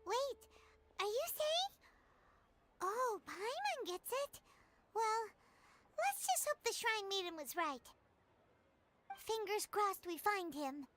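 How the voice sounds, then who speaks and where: Squeaky, swooping little fairy companion. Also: female voice, very animated, slow pace, long pauses, heavy background noise, heavily acted. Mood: wistful, brisk. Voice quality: squeaky, childlike. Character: tiny chirpy childlike fairy companion, eager and cheeky. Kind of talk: anime game voice acting.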